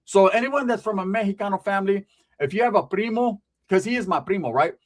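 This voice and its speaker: A man's voice: sits high, quick pace. A man telling a rambling, profane comic story about family, tagging his lines with "right?".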